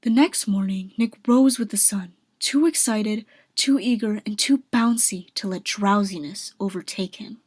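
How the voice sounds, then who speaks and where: A woman's voice: mid-range voice, unhurried, hardly any pauses, a little mellow, breathy edge, dry recording. A woman reading scripted narration for a radio drama.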